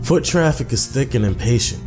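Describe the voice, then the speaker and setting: A man's voice: mid-range voice, animated, moderate pace. A young man reading a visual novel aloud as a gaming host, with casual asides. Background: faint music.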